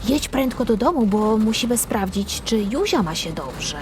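Woman voicing an excitable little storybook boy. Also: a little high, normal speed, noisy background. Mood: excited, urgent.

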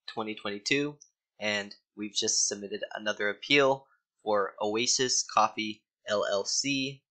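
A man's voice: fairly low pitch, pushed and bright, poor audio. A young man casually walking through an ads account on a screen recording, with lots of ums and a mildly exasperated tone.

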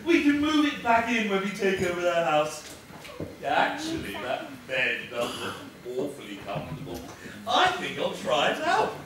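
A man's voice: high-pitched, huge pitch swings, normal speed. A man playing a lazy, scheming loafer in an amateur stage pantomime, with a theatrical drawl. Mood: idle, scheming. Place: theatre stage, filmed from far off. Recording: echoey noisy theatre, distant microphone.

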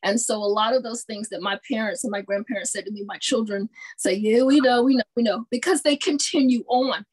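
A woman's voice: on the high side, fairly quick, few pauses, slightly rough, poor audio. A middle-aged woman from the American South, speaking as a panelist on a video call and telling a childhood story with many fillers. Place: home room with bookshelves. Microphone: video call webcam.

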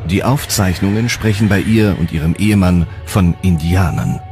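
A man's voice: low-pitched, normal speed, a little mellow. A man reading scripted documentary narration as the narrator. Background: music.

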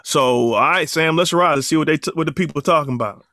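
A man's voice: on the high side, bright, forceful tone, dry recording, studio quality. A man co-hosting a casual podcast, easygoing, with frequent restarts and chuckles. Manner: easygoing, halting restarts, laughs often. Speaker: podcast co-host.

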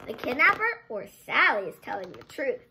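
A young girl reading a story aloud with dramatic, drawn-out emphasis, sometimes stumbling over words. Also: sits high, sing-song, sharp tone, a little hiss or hum. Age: child, around 8 to 10. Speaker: child presenter reading a picture book aloud. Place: bedroom, indoors.

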